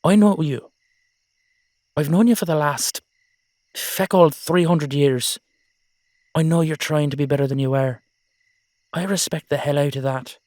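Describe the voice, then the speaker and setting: A man's voice: a little high, unhurried, long pauses, darkish tone, dry recording, lightly acted. An earnest old Irish dullahan acted by a man in an audio roleplay. He is coaxing and emphatic, lifting his voice as he presses his point, and is recorded close and dry. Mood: earnest, insistent. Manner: emphatic, coaxing, salty-tongued.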